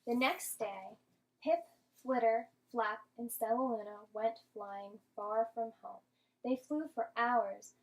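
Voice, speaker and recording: Woman, high-pitched voice, teacher, expressive storybook reading, patient, questioning, speaking to small kids. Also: takes it slowly, poor audio. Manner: expressive, questioning, patient explaining to young children. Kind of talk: interactive storybook read-aloud to children.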